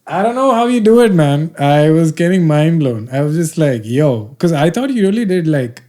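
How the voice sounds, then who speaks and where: A man's voice: fairly high pitch, very animated, normal speed, dark tone. A man, hosting a casual podcast chat, playful and teasing, with many fillers and restarts. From India. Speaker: podcast host.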